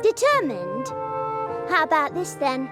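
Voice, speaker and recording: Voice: high-pitched, huge pitch swings, a little slow, full character voice. A performer voicing a small child who plays a bold knight in a video game, bombastic and declamatory. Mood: indignant, blustering. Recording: music behind the voice.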